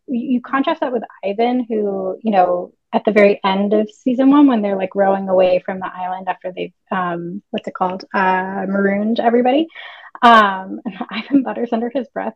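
A woman's voice: medium pitch, vocal fry, dry recording, highs cut off. A woman co-hosting a casual fan discussion podcast, thinking aloud in long, analytical, wordy stretches. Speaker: podcast co-host.

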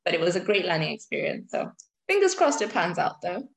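A woman in her twenties from Zimbabwe, a guest on a podcast, answering thoughtfully with reflective pauses. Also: fairly low pitch, sing-song, creaky. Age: 20s. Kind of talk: conversational interview answers.

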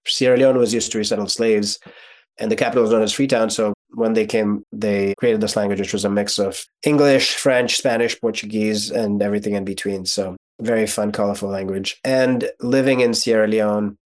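This is a man in his forties with a fairly low voice, a native Russian speaker who grew up in Ukraine. He talks as a podcast guest in an unhurried, thoughtful way, often restarting a phrase before settling on it. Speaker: guest, real estate investor and mentor. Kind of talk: podcast interview.

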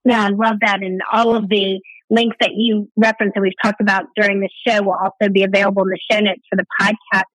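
A woman's voice: medium pitch, flat delivery, quick pace, fairly bright tone, dry recording, low-quality recording. A woman co-hosting a talk radio show, upbeat as she asks questions and reads out promotions.

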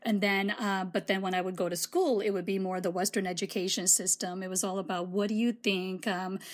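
A woman's voice: medium pitch, steady tone, a little fast. A woman co-host speaking in an earnest, thoughtful, conversational way. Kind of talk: casual conversational talk.

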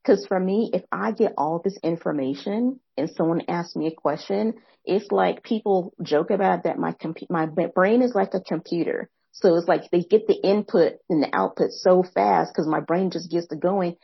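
A young adult woman hosting a podcast, speaking in a soft, soothing voice, reflective and quick, sometimes restarting her phrases. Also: fairly low pitch, vocal fry, husky, no room sound, highs cut off. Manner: fast, excited talker who pauses to rephrase. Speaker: podcast host. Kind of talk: reflective interview commentary.

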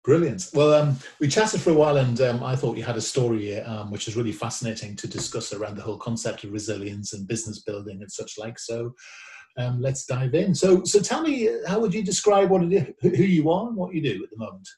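A man hosting a podcast, opening the show and interviewing his guest in a measured way with frequent "um"s. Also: mid-range voice, animated, mellow. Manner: measured, uses "um" between phrases. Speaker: podcast host. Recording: echoey room with faint music.

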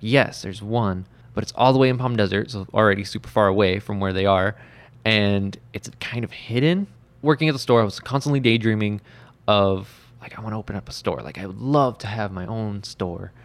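A man's voice: fairly low pitch, clean tone, dry recording. A man in his twenties, a comic shop owner, talking in a casual, rambling interview with many fillers. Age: 20s.

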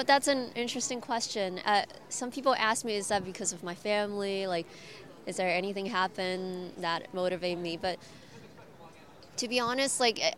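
A young woman from Hong Kong tells her story as an interview guest. She talks in an earnest, casual way, full of "like" and "um", in a voice of middle pitch. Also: fairly bright tone. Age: young adult. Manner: earnest, many fillers like "like" and "um". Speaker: guest, activist.

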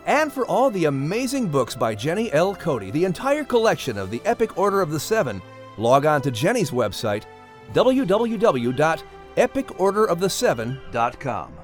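Male narrator-announcer: lively, higher-set voice, storytelling delivery, music underneath. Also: normal speed. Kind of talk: audiobook narration and podcast announcing.

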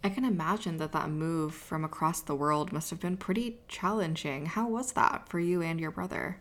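Lowish-voiced woman hosting a podcast. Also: normal speed, fairly bright tone. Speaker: podcast host. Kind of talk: scripted intro, then interview questions.

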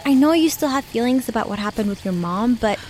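A woman playing a sardonic, sceptical young camper with a wry, expressive delivery in a naturalistic audio drama. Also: a little high, fast, background noise, natural voice. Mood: sceptical, concerned.

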